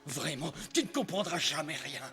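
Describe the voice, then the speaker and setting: A man's voice: a little high, animated, moderate pace, sharp tone. A man playing an obsessed, menacing, manipulative priest in a French cartoon dub, with theatrical, impassioned delivery that swings from coaxing to threatening. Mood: tormented passion. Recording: long hall reverb, music behind.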